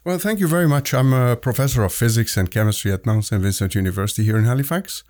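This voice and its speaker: A man in his forties, originally from Egypt, a professor speaking as a guest in a conversational interview, explaining at length with frequent pauses. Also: mid-range voice, darkish tone, no echo, studio quality. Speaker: physics and chemistry professor, guest.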